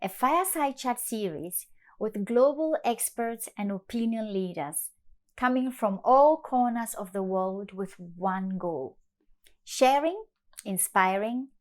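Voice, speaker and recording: Woman, interview host, slightly higher voice, enthusiastic, gushing introductions. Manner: enthusiastic, effusive welcomes. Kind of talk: interview chat.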